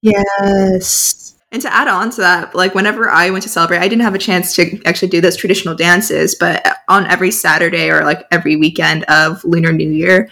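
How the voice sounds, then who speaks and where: A woman's voice: on the low side, steady tone, brisk, sharp tone. A teenage girl from San Diego hosting a podcast, chatty and upbeat, with frequent fillers. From San Diego, USA. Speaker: podcast host. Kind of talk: casual podcast chat.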